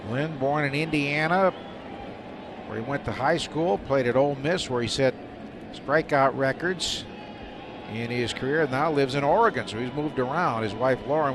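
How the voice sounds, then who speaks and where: A man calling a baseball game play by play as live radio commentary. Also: medium pitch. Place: outdoor stadium broadcast. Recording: very noisy outdoor ballpark broadcast. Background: loud steady outdoor ambient noise.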